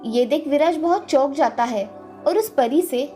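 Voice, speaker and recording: A woman's voice: high-pitched, lively intonation, moderate pace, mellow. A woman storyteller giving scripted narration of a children's fairy tale. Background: faint background music.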